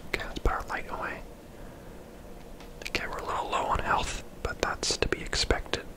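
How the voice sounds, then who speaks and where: An ASMR video host whispering casual, rambling gameplay commentary in a breathy, unvoiced voice. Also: moderate pace, low-quality recording. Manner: soft-spoken, rambling, casual.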